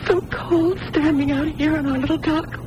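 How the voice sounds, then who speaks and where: A woman's voice: sits high, steady tone, normal speed, lightly acted. An actress playing a confused, fragile young woman in hospital with amnesia, childlike and earnest, in old-time radio drama style. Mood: distressed, desperate. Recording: noisy vintage radio broadcast recording.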